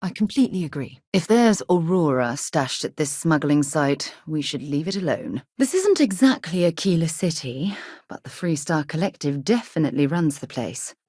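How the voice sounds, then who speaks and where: A woman's voice: low voice, big pitch swings, normal speed, darkish tone, breathy edge, no room sound, studio quality, heavily acted. A woman playing a thoughtful, principled space explorer in a video game, speaking in an articulate, earnest and composed way. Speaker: video game companion character.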